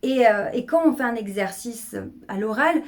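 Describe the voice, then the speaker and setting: Woman, middle-aged, speaking coach, energetic, emphatic, repetitive phrasing, fairly high pitch. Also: normal speed. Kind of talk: coaching video monologue.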